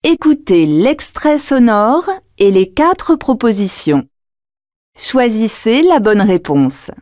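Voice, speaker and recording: Female exam narrator, higher voice, very dry recording, unhurried and precise delivery. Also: very animated, a little mellow, dry recording. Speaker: language test narrator. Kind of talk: scripted exam narration and dialogue reading.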